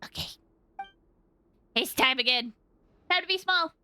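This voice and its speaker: Casting: female streamer, high and very piercing, dramatic, music behind her. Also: lively intonation, unhurried. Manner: theatrical, insistent, dramatic. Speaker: game streamer. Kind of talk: live game commentary. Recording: loud game music behind the voice.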